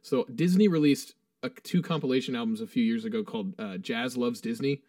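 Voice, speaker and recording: A man's voice: mid-range voice, brisk, dark tone, dry recording, studio quality, distant mic. A man co-hosting a podcast, chatting loosely and swearing as he reads through a ranked song list. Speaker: podcast co-host. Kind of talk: casual chat.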